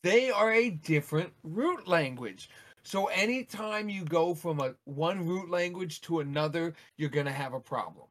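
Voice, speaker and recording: A man co-hosting a podcast, chatting casually, animated, talking over the others and repeating words when he gets excited. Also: fairly high pitch, normal speed. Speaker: podcast co-host. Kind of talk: casual chat.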